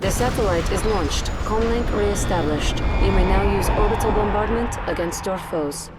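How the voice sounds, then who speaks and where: A woman voicing a calm battlefield computer that makes short, flat announcements. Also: mid-range voice, moderate pace, heavy background noise, lightly acted. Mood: announcing. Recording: game audio over very loud music.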